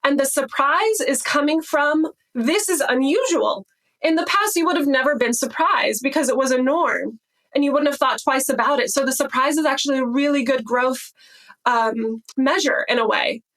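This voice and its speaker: Woman, high voice, gentle encouraging coaching talk, casual. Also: normal speed. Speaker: podcast co-host.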